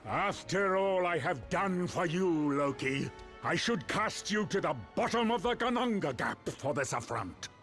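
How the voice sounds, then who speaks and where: An aged, majestic Norse king of gods for a fantasy game. He speaks slowly and grandly, with sweeping swings of pitch and stern command, over prominent music. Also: animated, fairly bright tone, exaggerated voice. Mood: stern anger. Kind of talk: theatrical game cutscene acting.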